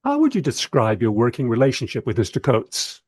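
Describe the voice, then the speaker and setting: An actor in a radio play voicing a polite, dryly sceptical radio interviewer with a measured, understated manner. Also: male voice, mid-range voice, wildly swooping pitch, a little mellow, breathy edge, dry recording. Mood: tactful, hesitant.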